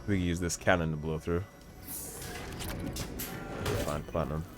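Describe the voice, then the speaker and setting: A man's voice: sits low, steady tone, unhurried, over loud music. A young man giving laid-back, chatty commentary while playing a video game. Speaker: gaming video host. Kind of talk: casual gameplay commentary.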